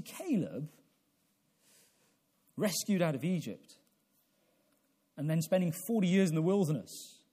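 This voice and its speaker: Mellow-voiced male preacher in his forties. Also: a little high, husky. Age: 40s. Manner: deliberate, halting delivery with repeated phrases and rhetorical questions. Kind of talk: evangelical sermon with prayer.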